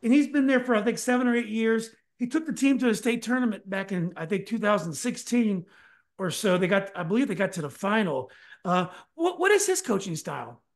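A man's voice: high-pitched, lively intonation, fairly quick. A middle-aged man hosting a podcast interview over a video call from his home study, often restarting his questions. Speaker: podcast host. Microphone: desk mic on video call.

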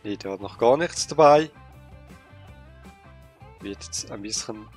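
Slow-talking man with a cold. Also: on the low side, lively intonation, soft background music. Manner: slow, hesitant, thinking aloud with fillers. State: has a cold. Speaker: let's play gamer. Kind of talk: casual gameplay commentary.

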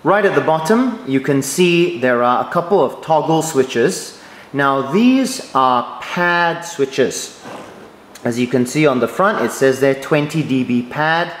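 Guy walking you through sound gear step by step, roomy echo, bit of hiss. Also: mid-range voice. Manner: clear, methodical explaining. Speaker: church sound trainer. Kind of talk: instructional voice-over. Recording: echoey room, faint noise.

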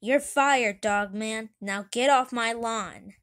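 A woman's voice: fairly high pitch, a little slow, lightly acted. A woman voicing a vain, irritable, bossy town mayor who barks orders indignantly in a children's comic story. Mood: furious. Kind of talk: children's comic read aloud.

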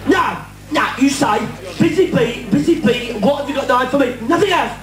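Man as a bossy, high-pitched pantomime Cinderella. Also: expressive, moderate pace. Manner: insistent, repetitive instructing, broad comic delivery. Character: bossy, fussy pantomime Cinderella, a daft young woman. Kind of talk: amateur pantomime comedy double act. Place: stage in a hall with audience. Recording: echoey distant stage tape, loud crowd, music.

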